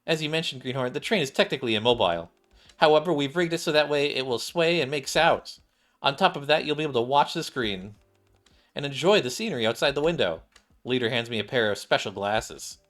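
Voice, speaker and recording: A man's voice: mid-range voice, animated, fairly quick, a bit bright. Man reading game dialogue over music. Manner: stumbles over written lines and rereads them. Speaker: video game streamer reading dialogue aloud. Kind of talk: reading game dialogue aloud, acting out parts. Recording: music playing behind the voice.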